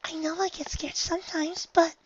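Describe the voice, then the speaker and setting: A reader voicing a timid, tearful young mare who whimpers and stammers in a very high voice. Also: slow, fairly bright tone, no room sound, lo-fi sound, full character voice. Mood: frightened.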